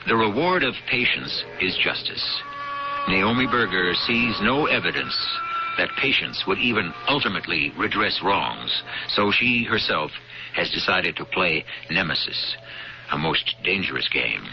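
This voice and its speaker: A man hosting a radio drama with measured, portentous scripted narration. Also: low voice, very animated. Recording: muffled old radio broadcast. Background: music.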